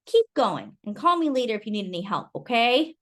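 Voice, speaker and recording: A woman's voice: fairly high pitch, very animated, a little fast, fairly bright tone. A woman voicing a helpful older girl cousin in a storybook, friendly and reassuring.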